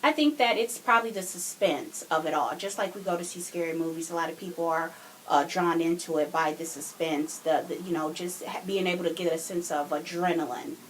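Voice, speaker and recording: A woman with a slightly low voice talks casually as a guest on a podcast, offering her opinions in an informal way. A faint hiss sits behind her on the home recording. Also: fairly quick, low-quality recording. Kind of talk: casual chat, giving opinions.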